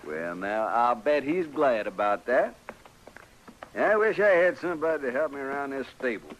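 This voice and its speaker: A man's voice: mid-range voice, animated, normal speed, natural voice. Man playing a folksy drawling American stable keeper. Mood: folksy, teasing. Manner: drawling rustic speech. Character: folksy, plain-spoken male stable keeper in frontier town. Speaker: actor in a radio western. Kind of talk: old-time radio western acting. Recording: noisy old radio recording.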